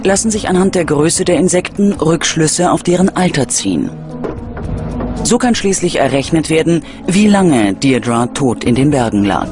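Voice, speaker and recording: A man's voice: on the high side, expressive, moderate pace. A man reading scripted narration for a true-crime documentary. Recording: music under the voice. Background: background music.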